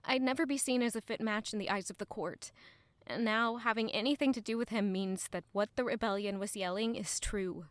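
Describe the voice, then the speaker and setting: A woman's voice: mid-range voice, brisk, dry recording, lightly acted. An actress playing a guarded, wistful young lady-in-waiting in an audio drama, speaking earnestly and introspectively. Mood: pained, resigned. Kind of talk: audio drama narration and dialogue.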